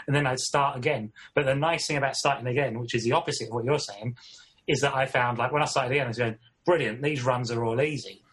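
A man's voice: mid-range voice, fast, fairly bright tone, husky, low-quality recording. A man chatting casually, reflective and self-deprecating about his habits. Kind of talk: casual chat.

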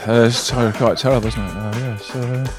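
A male stand-up talks quickly over a clear music bed. He stammers into his lines, repeating words, then lands them as deadpan one-liners. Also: mid-range voice. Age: middle-aged. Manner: hesitant, stammering repetitions. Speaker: stand-up comedian. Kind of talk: deadpan one-liner comedy in interview answers. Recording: music playing behind the voice.

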